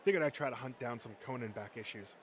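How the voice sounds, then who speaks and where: A man's voice: mid-range voice, quick pace, close to an everyday voice. Man acting an easygoing male geek, awkward, hesitant, self-conscious, conversational, comic dub, slight noise. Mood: awkward friendliness.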